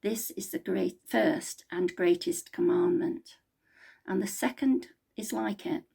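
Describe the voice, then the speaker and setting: A woman's voice: medium pitch, slow, vocal fry, dark tone, breathy edge. A woman reading the Bible aloud as a member of a church.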